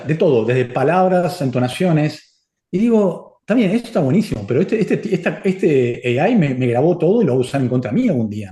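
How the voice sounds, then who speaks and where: A middle-aged man whose first language is Spanish, answering audience questions in a casual, animated way with many restarts. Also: on the high side, roomy sound. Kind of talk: casual Q&A talk.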